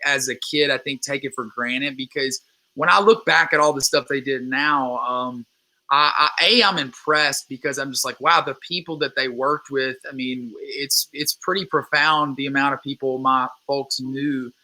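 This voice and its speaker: A man's voice: medium pitch, fairly even intonation, moderate pace, sharp tone, poor audio. A man in his twenties from Louisiana, a guest musician talking casually and earnestly, with many restarts and fillers. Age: 20s. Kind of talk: casual interview chat.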